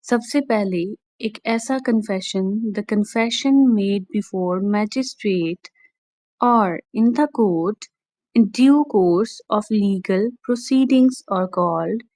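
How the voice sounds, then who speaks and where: A woman with a Pakistani accent giving an explanatory law lecture in a deliberate, instructional way, restating each point plainly. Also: mid-range voice, a little mellow, dry recording. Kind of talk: explanatory lecture mixing Urdu and English.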